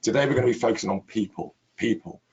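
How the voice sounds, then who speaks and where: A middle-aged man with a hoarse, croaky voice from laryngitis, hosting a webinar and stressing points with long lists of repeated words. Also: lowish voice, fast talker, darkish tone, dull audio. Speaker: webinar host, chief executive. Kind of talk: webinar presentation.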